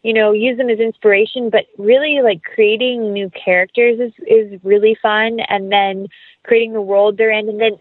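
Thin phone-like audio, woman, mid-pitched voice, effusive, casual interview guest, dry sound. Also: fairly even intonation, normal speed, no room sound. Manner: enthusiastic, effusive. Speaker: interview guest, actress and writer.

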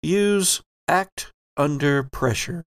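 A man hosting and narrating a tabletop horror game in a measured, theatrical way. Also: a little high, clear, resonant tone, no room sound, clean studio sound. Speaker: game master and podcast host.

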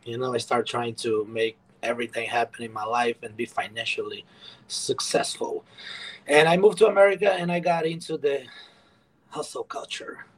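A man's voice: mid-range voice, moderate pace, low-quality recording. A man from Brazil, a guest author telling his story in an earnest, rambling way full of fillers on a casual podcast.

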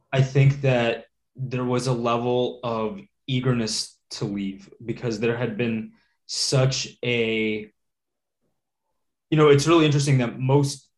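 A man from Latvia, a guest in a casual interview, talking thoughtfully with many fillers and restarts. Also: mid-range voice.

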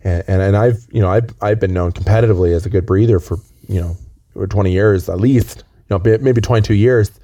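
Man, hoarse with a cold, rushed rambling deadpan comic host, low dark voice. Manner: rambling, stammering, earnest. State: raspy from a chest cold. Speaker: comedy co-host. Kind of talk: deadpan improvised comedy chat. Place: outdoors on a lookout.

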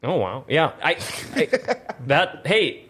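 A man's voice: on the high side, big pitch swings, slow pace, a bit bright. A man hosting a casual gaming talk show in an informal, slangy, encouraging way. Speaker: host.

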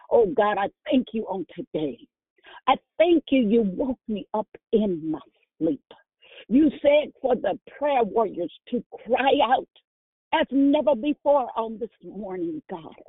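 Fervent high-voiced woman praying over phone. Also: husky, no room sound. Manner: fervent, repetitive, with frequent uh fillers and rising cries. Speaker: prayer line leader. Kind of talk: spontaneous spoken prayer. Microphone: telephone. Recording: dry tinny phone line.

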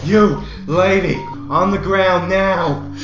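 A man playing a high-pitched, desperate armed robber. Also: slow pace. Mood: aggressive. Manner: shouting orders, agitated. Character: desperate, aggressive, panicky younger male armed robber. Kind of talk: audio drama acting. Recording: roomy muffled sound under music.

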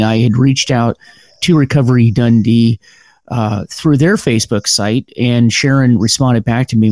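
A man's voice: mid-range voice, darkish tone, no room sound. An American man hosting a podcast interview. He rambles a little, with lots of fillers and restarts. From United States. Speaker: podcast host. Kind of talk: casual interview.

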